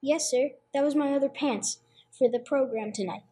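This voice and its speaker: A woman acting as a humble, nervous man under questioning, with a high, husky voice. The delivery is halting, folksy and apologetic, in radio play style. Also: mellow. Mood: meek, anxious. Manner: halting, plain folksy speech. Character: humble, anxious man, simple and apologetic suspect.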